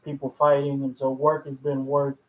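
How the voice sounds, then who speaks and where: A man's voice: medium pitch, monotone, normal speed, rough recording. A man co-hosting a podcast in casual, conversational chat. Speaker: podcast co-host.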